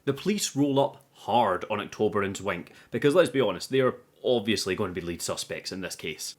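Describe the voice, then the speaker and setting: A man hosting a film review, narrating a scripted recap with irreverent, sweary, teasing commentary. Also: mid-range voice.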